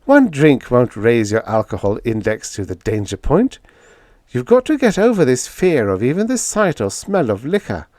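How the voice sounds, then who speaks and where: Man doing a young lady for a story, pitched way up, pleading and insistent, very lively, dry recording. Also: moderate pace, no echo. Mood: urgent coaxing. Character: young blonde woman, urgent and coaxing.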